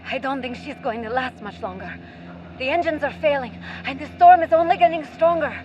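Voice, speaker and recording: A woman's voice: fairly quick, darkish tone, close to an everyday voice. A woman playing a firm, loving mother and pilot in a radio play, earnest and dramatic. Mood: alarmed, defiant. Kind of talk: audio drama acting. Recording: muffled, faint vehicle rumble.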